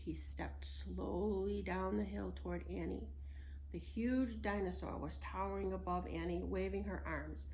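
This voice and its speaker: A woman narrating a children's story in an expressive read-aloud style. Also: mid-range voice, measured pace, gravelly, a little hiss or hum, rough recording. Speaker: storybook reader.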